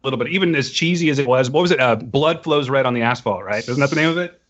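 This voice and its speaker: Man in his forties, rapid speech, bright tone, teasing mock-grand manner, dull call audio. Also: medium pitch. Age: 40s. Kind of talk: casual chat.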